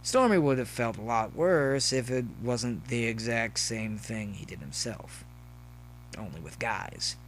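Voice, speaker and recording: A man narrates a scripted story in a medium-pitched voice. He reads prose as a storyteller would. A faint hum sits behind the recording. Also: expressive, unhurried. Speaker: narrator, fan fiction reader.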